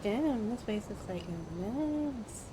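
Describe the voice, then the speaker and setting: A woman livestreamer commenting casually on the game she is playing, halting and repeating herself. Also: fairly high pitch, lively intonation, very noisy. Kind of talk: casual gaming stream commentary. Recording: game music and voices behind.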